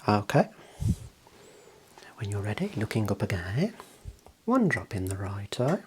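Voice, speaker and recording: Man, very low pitch, varied intonation, soft breathy half-whisper, reassuring clinical ASMR role play. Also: big pitch swings, measured pace, a little hiss or hum. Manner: gentle, procedural, reassuring instructions. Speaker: ASMR host playing an eye clinician.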